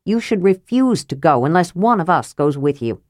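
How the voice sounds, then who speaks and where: A man's voice: a little high, very animated, moderate pace, dry recording, clean studio sound. A woman narrator playing a proud, earnest male knight in a fantasy audiobook, urgent and formal.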